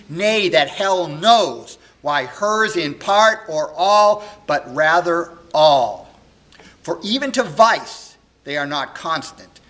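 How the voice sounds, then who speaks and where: A man's voice: a little high, sharp tone, voice pushed up, heavily acted. A man playing a heartbroken, furious young husband in a Shakespeare soliloquy, declaiming bitterly and emphatically. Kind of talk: classical stage monologue.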